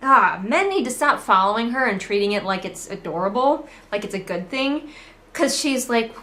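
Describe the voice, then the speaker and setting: A woman's voice: fairly high pitch, animated, moderate pace, bright, forceful tone, echoey room. A woman giving casual, comedic book commentary as a host, in a sarcastic, sweary, conversational manner. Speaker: book critic and host of a readalong.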